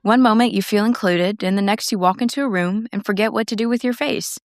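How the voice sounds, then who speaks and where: A teenage girl, co-hosting a podcast in casual, chatty and loud conversation. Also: medium pitch, fairly quick, dry recording, studio quality. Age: teens. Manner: chatty and loud, laughs between stories. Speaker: podcast co-host. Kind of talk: casual chat.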